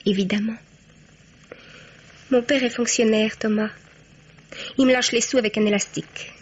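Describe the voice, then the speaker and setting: An actress plays a young woman blackmailer in a radio crime play. Her voice is fairly high, chatty and full of sly, mock-innocent taunts. The sound is dull and band-limited. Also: steady tone, moderate pace, lightly acted. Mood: coy, greedy. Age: young adult. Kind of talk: French radio crime drama acting.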